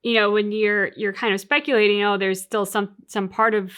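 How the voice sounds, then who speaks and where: Reflective woman with a medium-pitched voice. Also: flat delivery, moderate pace, fairly bright tone, clear, resonant tone. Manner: reflective, thinking aloud. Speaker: reaction video co-host. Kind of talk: casual chat, game commentary.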